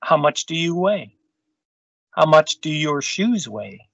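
Higher-pitched man reading aloud, dull audio. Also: sing-song, takes it slowly, gravelly, a bit bright, dry recording. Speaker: storytime reader. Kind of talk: read-aloud of a children's science book. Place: indoors on a video call. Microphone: video call microphone. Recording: dull, lo-fi video call audio.

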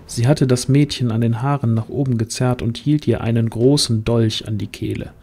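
Male storyteller, soft dark tone, mid register, dramatic scripted fantasy narration. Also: mid-range voice, steady tone, moderate pace, breathy edge.

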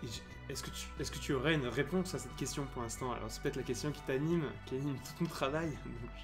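Halting man hosting a live stream. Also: mid-range voice, over music. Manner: hesitant, many restarts and fillers. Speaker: stream host, interviewer. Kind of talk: casual live-stream interview. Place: home room, indoors. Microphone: headset.

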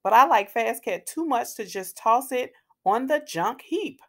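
A woman's voice: fairly high pitch, animated, a little slow, pitched above the performer's own voice. A woman voicing an earnest, worried young boy in a children's storybook, with plaintive delivery. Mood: sad, reluctant. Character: young boy, worried and attached to his old bike.

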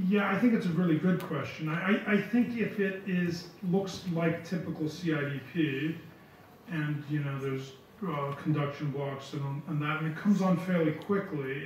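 A middle-aged man giving relaxed answers at a lecture hall podium, repeating words as he thinks. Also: fairly high pitch. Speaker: visiting lecturer answering questions. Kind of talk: conference Q&A answers. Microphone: podium mic from far. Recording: echoey hall, distant dull sound, faint hiss.

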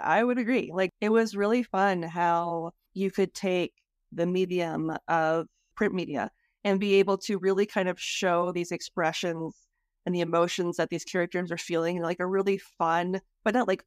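A woman's voice: fairly low pitch, fairly even intonation, normal speed, no room sound, clean studio sound. A woman hosting a podcast interview in a casual, conversational style.

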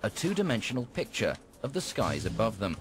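Male narrator, scripted documentary style, animated intonation, music underneath. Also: fairly low pitch, a little fast.

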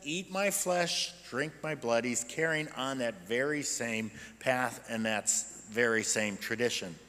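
Bright-voiced older American pastor in reverberant church. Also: male voice, medium pitch, measured pace. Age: 60s. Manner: animated, asks rhetorical questions. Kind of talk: sermon, conversational and explanatory. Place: indoors at a church pulpit. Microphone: gooseneck pulpit microphone.

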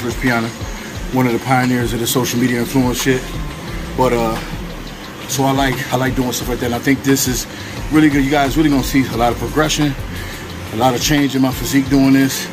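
A man talking in a casual, slangy, hyped-up way in a fitness vlog, with some swearing. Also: medium pitch. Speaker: fitness vlogger. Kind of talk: casual motivational vlog talk. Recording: music playing behind.

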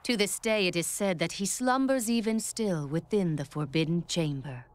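Woman voicing solemn legend narrator for anime game, lowish voice, dramatic. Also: moderate pace, natural voice.

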